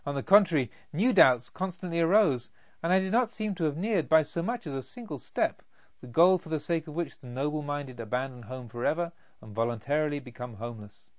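A man's voice: a little high, expressive, fairly quick, dry recording, lo-fi sound, natural voice. A man voicing an agitated, fervent young male pilgrim, earnest, wordy and exclamatory, in a storybook reading.

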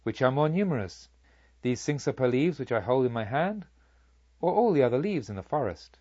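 A man voicing a serene Buddha, a kindly sage who teaches in a measured, solemn, rhetorical way in an audiobook. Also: mid-range voice, big pitch swings, a little mellow, no echo, dull audio. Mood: solemn teaching. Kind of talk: audiobook character speech.

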